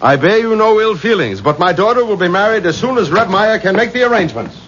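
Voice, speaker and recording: An actor playing a proud, firm middle-aged father in a 1970s radio drama, measured and courteous. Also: high-pitched, expressive, noisy background. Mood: resolute. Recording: muffled vintage radio recording.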